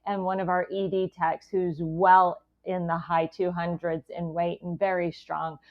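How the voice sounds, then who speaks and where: A woman with a slightly low voice speaks as a medical guest. The recording is a little dull and lo-fi. She talks in a conversational way, like a clinician sharing experience. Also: little pitch movement, measured pace. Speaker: physician guest. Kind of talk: conversational medical anecdote and comment.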